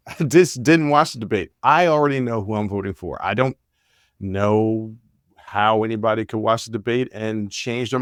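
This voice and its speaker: A man's voice: lowish voice, no echo. Laid-back man in his forties, dry sound. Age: 40s. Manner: candid, rambling, laid-back with frequent fillers and restarts. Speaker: podcast host. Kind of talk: casual solo monologue. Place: Washington, D.C.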